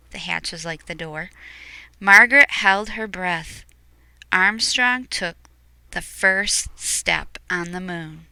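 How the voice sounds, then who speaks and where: A woman reading a picture book aloud expressively, explaining things to young listeners in hushed asides. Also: mid-range voice, clean tone, dry recording.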